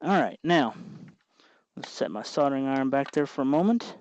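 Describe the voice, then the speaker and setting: A man's voice: mid-range voice, animated, normal speed, dry recording, highs cut off. Man giving a dry-sounding hands-on tutorial. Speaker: host of a DIY electronics tutorial. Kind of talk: hands-on tutorial, talking while working.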